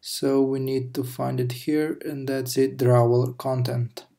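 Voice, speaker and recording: A man talking through a coding tutorial over a screen recording, explaining step by step with pauses and self-corrections. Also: medium pitch, flat delivery, creaky, mellow. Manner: slow, halting explanation with fillers and self-corrections. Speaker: programming tutor.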